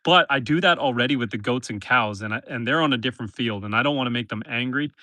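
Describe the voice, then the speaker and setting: A relaxed homesteader man, stuffy from a swollen nose, chats easily with viewers in an unhurried, conversational way. His voice sits at an ordinary pitch and sounds close and dry, with no room echo. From northern Canada. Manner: relaxed, chatty, talks to animals and viewers. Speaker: homesteader livestreaming. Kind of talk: casual live stream chat. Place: outdoors in a forest pen.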